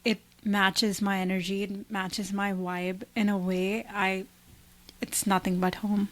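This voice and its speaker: A woman's voice: medium pitch, flat delivery, unhurried, low-quality recording. A woman in her twenties from India, co-hosting a podcast in casual chat, thinking aloud with many likes and restarts. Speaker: podcast co-host.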